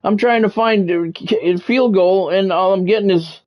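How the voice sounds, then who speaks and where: A man streaming a football video game, giving excitable play-by-play and bluntly talking at the players in short, urgent, repeated phrases. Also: sits high, moderate pace, highs cut off. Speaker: gaming streamer doing play-by-play.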